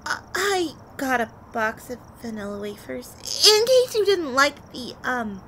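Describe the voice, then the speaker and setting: Casting: actress as playful, flirty female vampire for audio roleplay; bright voice, unhurried, teasing delivery. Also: sits high, very animated, a little hiss or hum, exaggerated voice. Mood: playful, coaxing. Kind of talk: intimate audio roleplay acting addressed to the listener.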